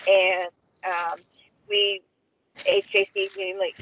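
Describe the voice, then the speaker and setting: A woman's voice: medium pitch, level tone, slow, fairly bright tone, a little hiss or hum. A woman calling in from a car, giving informal advice on a conference call over the phone. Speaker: regional council staffer on call. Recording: dry, band-limited phone line.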